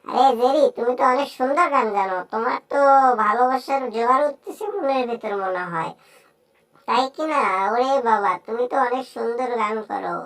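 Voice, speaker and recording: A woman voicing an excitable, teasing cartoon animal pal in a playful, exclaiming amateur dub. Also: on the high side, a little fast, recorded from a distance, lightly acted. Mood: amused, admiring. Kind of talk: amateur Bangla cartoon dub, improvised chatter.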